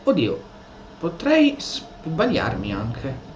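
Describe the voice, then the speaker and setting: Italian male gaming streamer: animated, casual, in-character replies, slightly muffled roomy sound. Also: fairly high pitch, slow, a crowd in the background. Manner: reacts aloud, speaks replies in character. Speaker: gaming streamer, role-playing the hero. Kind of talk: casual let's play commentary.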